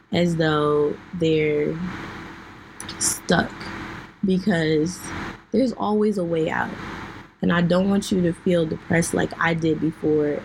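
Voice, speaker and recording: A young woman hosting her own podcast, losing her voice and clearing her throat, talking candidly to listeners with many fillers. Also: fairly low pitch, poor audio. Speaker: podcast host. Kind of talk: personal talk to listeners. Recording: faint background noise.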